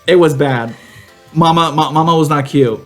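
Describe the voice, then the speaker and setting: A young man with a fairly high voice chats as a podcast co-host. His storytelling is campy and full of slang, with music behind him. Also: moderate pace. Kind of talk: casual chat.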